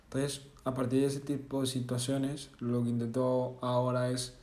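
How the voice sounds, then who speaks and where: A man's voice: medium pitch, completely flat delivery, moderate pace, darkish tone, reverberant. Earnest man, reflective vlog. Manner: casual and earnest, with self-corrections and tag questions. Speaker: vlogger. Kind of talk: reflective personal monologue.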